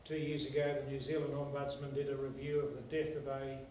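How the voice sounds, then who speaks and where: A man's voice: medium pitch, monotone, fast, dark tone, a little hiss or hum. A man on a panel giving a matter-of-fact example. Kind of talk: panel discussion. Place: small meeting room. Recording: echoey room from far off.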